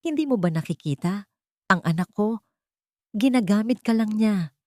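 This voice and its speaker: A woman voicing a wealthy elderly mother in a radio drama, gentle in manner with a hidden coldness. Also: medium pitch, animated, normal speed, dry recording, pitched above the performer's own voice, close to an everyday voice. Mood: coldly persuasive. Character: wealthy elderly mother, sweet-talking but coldly scheming. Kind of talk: Filipino radio drama acting.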